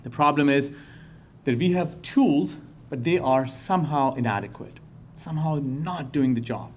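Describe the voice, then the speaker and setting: A man from Pakistan, a professor, giving a public talk in a lecture hall with earnest, slow and emphatic phrasing. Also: a little high, slight background noise. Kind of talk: public talk to a live audience.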